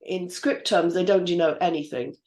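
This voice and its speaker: A woman's voice: lowish voice, moderate pace, a little mellow. A woman hosting a script reading panel and giving notes on formatting. She thinks aloud and hesitates with frequent fillers. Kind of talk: casual feedback discussion.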